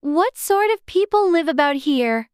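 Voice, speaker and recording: A prim, earnest little girl from a storybook. The voice is extremely high and childlike, with polite, inquisitive phrasing. It sounds dry, with no room echo. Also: animated, unhurried. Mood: polite, curious. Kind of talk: dramatized storybook reading.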